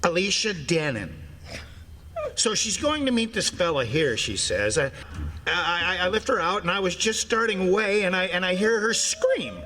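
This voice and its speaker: An actor playing a flustered, folksy forest ranger who stammers and speaks colloquially, in old-time radio drama style. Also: male voice, high-pitched, big pitch swings, normal speed, sharp tone, slight background noise, exaggerated voice.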